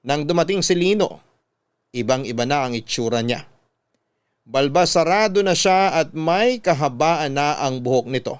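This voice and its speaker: A man narrating a scripted first-person story for a radio drama in a measured, storytelling manner. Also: on the high side, animated, clean tone, dry recording.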